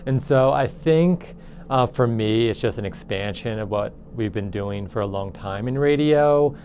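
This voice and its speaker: A man's voice: medium pitch, unhurried, a little mellow, slight background noise. A man who teaches, explaining things informally in a documentary interview. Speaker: audio production teacher.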